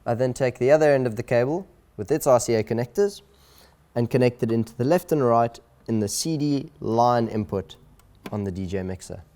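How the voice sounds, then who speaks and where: A man presenting an instructional video in a clear, deliberate, step-by-step way. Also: medium pitch, warm tone. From South Africa.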